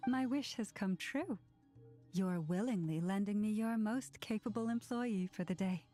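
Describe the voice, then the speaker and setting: An actress plays a calm, polite but insistent woman in a game. Her tone is soft and dark, with measured phrasing. Light music sits behind it. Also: medium pitch, natural voice. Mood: pleased. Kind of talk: video game voice acting.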